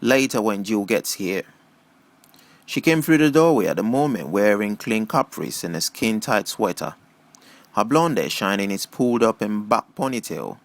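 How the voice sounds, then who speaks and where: A man's voice: fairly low pitch, sing-song, normal speed, creaky, dry recording. A man reading a first-person novel aloud as scripted audiobook narration. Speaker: audiobook reader.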